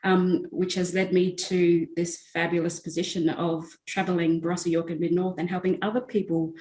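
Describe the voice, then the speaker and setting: Lower-voiced woman in a roomy space. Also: moderate pace. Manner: steady, with frequent fillers. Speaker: entrepreneurship facilitator. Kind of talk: guest telling her story in an interview.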